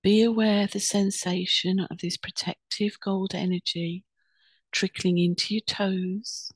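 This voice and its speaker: A woman leading a guided meditation in a soothing, unhurried, instructing way with pauses between phrases. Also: mid-range voice, level tone, husky, dry recording.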